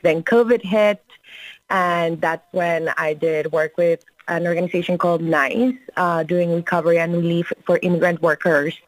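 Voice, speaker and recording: A woman's voice: lowish voice, normal speed, no room sound, low-quality recording. Earnest Colombian-born woman organizer. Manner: earnest, steady, with fillers. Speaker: guest, labor organizer. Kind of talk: interview answers.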